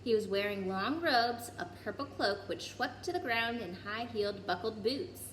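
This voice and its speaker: A woman reading a children's story aloud in an expressive way, with occasional small stumbles over the text. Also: mid-range voice, normal speed. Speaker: story reader. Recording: roomy echo, clear background noise.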